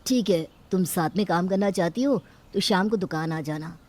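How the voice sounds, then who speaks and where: A woman's voice: lowish voice, moderate pace, a little mellow, lightly acted. A woman voicing a supportive, affectionate young husband who sells tea, in a cartoon moral story. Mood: earnest.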